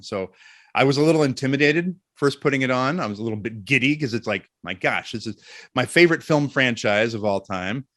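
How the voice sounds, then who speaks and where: A middle-aged man chatting casually as an interview guest, telling jokey anecdotes in an animated way. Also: mid-range voice, dry recording, studio quality.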